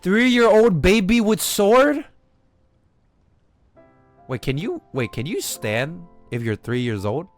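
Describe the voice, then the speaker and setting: Slow-paced, animated man streaming a game. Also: high-pitched, soft background music. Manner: slangy, incredulous, swearing. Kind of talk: casual reaction commentary on a game livestream.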